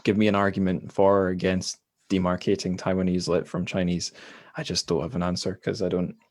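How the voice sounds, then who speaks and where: A man's voice: deep voice, little pitch movement, fairly quick, darkish tone, no room sound, clean studio sound. A Scottish man hosting a podcast interview in casual chat, rambling with self-deprecating asides. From Scotland. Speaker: podcast host.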